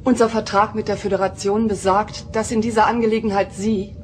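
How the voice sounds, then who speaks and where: A woman's voice: mid-range voice, little pitch movement, brisk, slight background noise, lightly acted. An actress playing a formal, grave female leader of a planet in a sci-fi audio drama, speaking in a stately manner.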